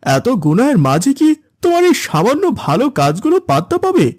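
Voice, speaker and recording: A woman's voice: on the high side, huge pitch swings, a little fast, darkish tone, a little hiss or hum. A woman playing a sly, persuasive friend in a cartoon, coaxing and insinuating. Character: sly, persuasive friend tempting someone back to sin.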